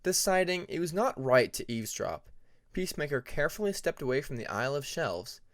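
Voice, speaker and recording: Lively, higher-pitched male story narrator. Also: fairly quick, no echo, clean studio sound. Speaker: audiobook narrator.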